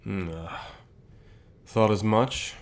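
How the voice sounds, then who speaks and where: A man playing a calm, reassuring company boss with gentle authority, speaking softly in measured phrases for an ASMR roleplay. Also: fairly low pitch. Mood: gentle, earnest. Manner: measured, soft-spoken, deliberate pauses. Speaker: voice actor in an audio roleplay.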